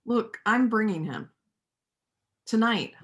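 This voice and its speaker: Woman acting an earnest, slow-spoken determined helper. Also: on the high side, lively intonation, fairly bright tone. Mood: urgent, pleading. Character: earnest, determined woman helping a fugitive, a painter of faith. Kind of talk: reader's theater stage acting.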